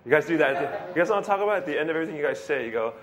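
A man's voice: on the high side, animated, very fast. Man, pastor, casual sermon, self-deprecating, rambling, faint background hiss. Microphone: handheld or stand mic with feedback.

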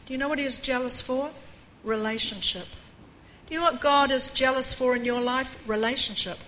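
An older woman preaching a personal testimony in an earnest way, with a croaky voice, reading a passage aloud. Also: sits high, a little fast, sharp tone, slight background noise, rough recording. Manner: earnest, reads aloud with rising emphasis. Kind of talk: testimony sermon.

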